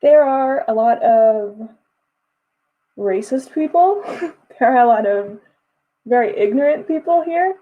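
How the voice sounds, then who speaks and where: A woman's voice: on the high side, expressive, takes it slowly, pauses often. Chatty young woman livestreaming, muffled mic. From Texas. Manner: chatty, reads chat messages aloud and answers them. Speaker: livestream host. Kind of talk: casual livestream chat.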